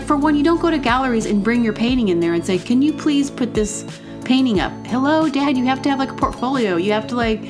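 A woman hosting a podcast, talking casually and rambling with lots of fillers and restarts. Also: a little high. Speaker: podcast host. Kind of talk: casual personal monologue. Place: temporary home setup. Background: music.

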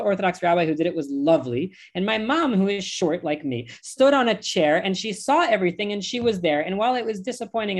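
A man, a rabbi with an American accent, speaking as an interview guest in an impassioned, emphatic way. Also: high voice. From United States.

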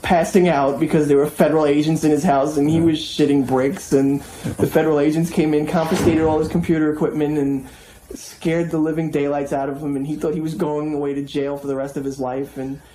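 A man telling an anecdote in a casual, animated way. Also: fairly high pitch, a little fast, noisy background. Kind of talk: casual storytelling.

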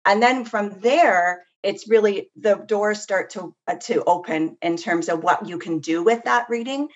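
A woman's voice: mid-range voice, a little slow. A woman guest on a podcast, talking reflectively and conversationally. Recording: dull, lo-fi sound.

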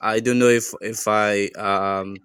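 A man chatting casually as a podcast guest, in a hesitant, halting way. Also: on the low side, steady tone, a bit bright, clean tone. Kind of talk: casual chat.

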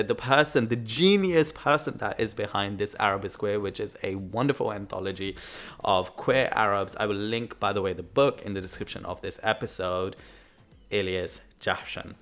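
Upbeat podcast host guy, bouncy sing-song intro voice, mid pitch. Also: fairly bright tone. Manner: upbeat, bouncy delivery with big swings in pitch. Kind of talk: podcast intro and interview chat.

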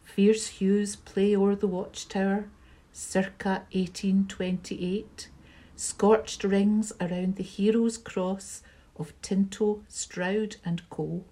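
A woman reading a poem aloud in a slow, measured recitation. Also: mid-range voice, flat delivery, mellow. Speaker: library staff member reading a poem. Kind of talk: poetry reading.

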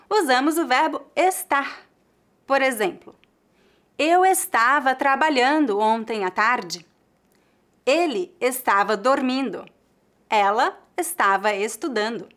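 A woman with a Brazilian Portuguese accent, teaching a scripted online language lesson in a clear, careful way and drilling slow examples. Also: high-pitched, fairly bright tone.

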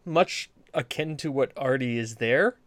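A man's voice: mid-range voice, normal speed, dry recording. A man from New England co-hosting a gaming podcast, chatting casually in long, rambling, joking rants. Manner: rambling, rant-prone, joking. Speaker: podcast co-host. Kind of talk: casual chat.

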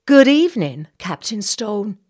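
High, emphatic Southern society lady. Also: sing-song, measured pace, darkish tone. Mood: genteel, chatty. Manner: genteel, emphatic, talkative. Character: petite, insistent, indignant Southern society lady, senator's wife. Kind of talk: audiobook character dialogue.

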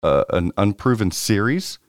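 A man's voice: on the low side, big pitch swings, measured pace, dry recording, clean studio sound. A man co-hosting a podcast, chatting casually and rambling with frequent restarts. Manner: rambling, lots of restarts and fillers. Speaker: podcast co-host. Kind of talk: casual chat about pinball news.

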